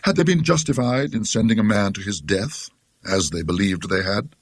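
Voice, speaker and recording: Husky British man narrating a ghost story. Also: on the low side, dry recording. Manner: dry, scholarly, measured literary delivery. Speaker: audiobook narrator.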